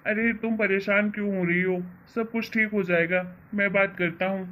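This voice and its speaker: A woman's voice: medium pitch, fairly even intonation, normal speed, fairly bright tone, close to an everyday voice. A woman voicing a caring husband and father in a cartoon family drama, coaxing and reassuring as he asks questions. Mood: reassuring, thoughtful.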